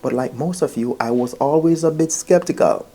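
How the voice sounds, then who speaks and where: A man with a dark, mellow voice at a middle pitch. He talks informally to his viewers like a storyteller, earnest and emphatic. He repeats phrases for weight and often speaks straight to the listener. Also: normal speed. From Jamaica. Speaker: vlogger.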